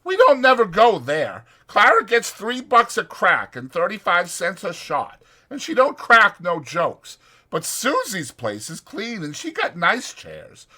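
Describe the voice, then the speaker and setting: A gossipy young ranch hand as performed by a male reader, pitched high and swooping up and down. He talks in folksy, ungrammatical slang, lively and eager to share, in a dramatized storybook style with a bright, forceful edge. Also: unhurried, exaggerated voice. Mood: enthusiastic.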